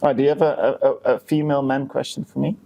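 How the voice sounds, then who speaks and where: Man, podcast co-host, casual conversation, hesitant phrasing, frequent restarts, medium-pitched voice. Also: level tone, fast talker, creaky, warm tone. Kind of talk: casual chat.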